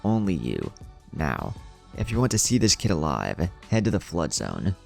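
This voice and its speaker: Man voicing a deep, menacing mist villain. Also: moderate pace, vocal fry, soft background music, exaggerated voice. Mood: calm menace. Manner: calm, taunting, maniacal.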